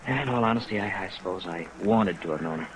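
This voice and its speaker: Man playing rueful middle-aged hotelier, lowish voice, hesitant, self-mocking, old radio drama, faint rain noise behind. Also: fast talker, lightly acted, rough recording. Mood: wistful, chagrined. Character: chagrined, rueful veteran male hotel operator. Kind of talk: 1950s radio drama acting. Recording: vintage radio recording under rain noise.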